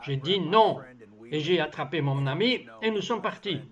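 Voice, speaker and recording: A man with a notably high voice reads a dubbed voice-over of a personal testimony. His delivery is earnest and measured, and the sound is somewhat dull and muffled, like a lo-fi recording. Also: lively intonation, a bit bright. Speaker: voice-over translator.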